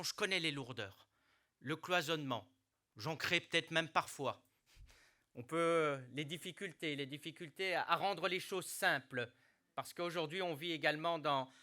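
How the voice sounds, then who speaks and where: A middle-aged man delivers a formal address with a very bright, forceful edge to his voice. His pitch sits a touch high for a man. He speaks earnestly and deliberately, often restarting a phrase before pressing on to the key word. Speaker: mayor giving a New Year speech. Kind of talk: formal public speech with hesitations. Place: hospital hall.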